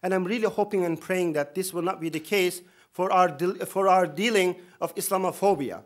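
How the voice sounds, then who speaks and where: A man in his thirties with a Turkish accent and a fairly high voice speaks from a podium in a lecture hall. He talks in an earnest, emphatic way, with touches of dry humor. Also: moderate pace. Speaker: imam giving opening remarks. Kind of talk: conference speech. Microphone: podium microphone.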